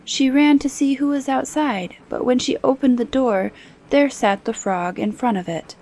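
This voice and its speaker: A woman softly narrating a fairy tale as ASMR bedtime storytelling, at times nearly whispering. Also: sits high, normal speed. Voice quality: soft, partly whispered. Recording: noticeable background noise.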